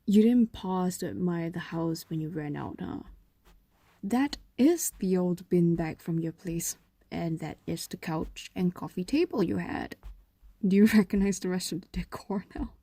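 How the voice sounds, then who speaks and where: A woman voicing a possessive, doting female fox demon in an intimate roleplay audio drama, coaxing and teasing in a soft, breathy voice that drifts into whispers. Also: on the low side, unhurried, dry recording, pitched below the performer's own voice. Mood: doting, gentle.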